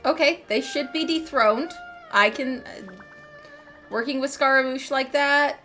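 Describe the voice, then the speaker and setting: Woman streamer chatting over a game, playful, highish voice, faint music behind. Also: a little slow, bright, forceful tone. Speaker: gaming streamer. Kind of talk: casual game commentary.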